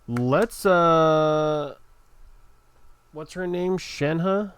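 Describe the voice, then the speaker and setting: A woman's voice: deep voice, drawn-out words, clean tone, dry recording. A woman playing a video game, giving casual, chatty commentary as she goes.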